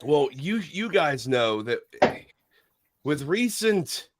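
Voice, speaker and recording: Man with a head cold, excitable and swearing. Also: on the high side, slow, background noise. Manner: excitable, swears, trips over words. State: head cold, losing his voice. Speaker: podcast co-host. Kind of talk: casual livestream chat.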